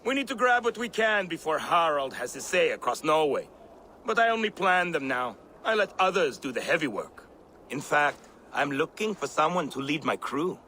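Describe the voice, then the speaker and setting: An older Viking raid planner. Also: male voice, on the high side, big pitch swings, moderate pace, bright, forceful tone, a little hiss or hum. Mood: friendly. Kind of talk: video game cutscene acting.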